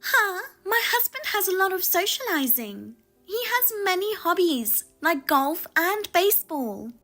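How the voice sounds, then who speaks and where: A scornful sister-in-law, as a woman puts her on, her high voice sharp and mocking as she lectures. The voice rises even above the reader's own high pitch, pushy and condescending. Faint music plays under the dramatized story. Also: a little slow, full character voice.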